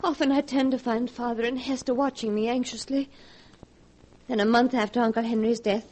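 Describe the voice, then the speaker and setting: A woman's voice: fairly high pitch, fairly even intonation, fairly quick, a little mellow, close to an everyday voice. A young woman playing a fearful, haunted heiress, earnest and melodramatic, in 1940s radio horror acting. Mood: haunted narration. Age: young adult. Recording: noisy 1940s radio recording.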